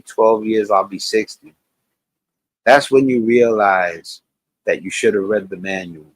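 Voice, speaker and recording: Husky middle-aged man giving life advice. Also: fairly low pitch, no echo, rough recording. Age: 40s. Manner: rambling, preachy life advice. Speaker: channel host. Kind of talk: casual reaction commentary.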